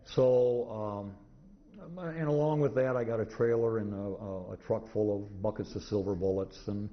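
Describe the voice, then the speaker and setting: A man giving an informal, rambling lecture to an audience, halting and restarting often as he goes. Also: lowish voice, steady tone. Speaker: convention presenter, radio historian. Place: indoor convention talk room. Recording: muffled, noisy live convention room recording.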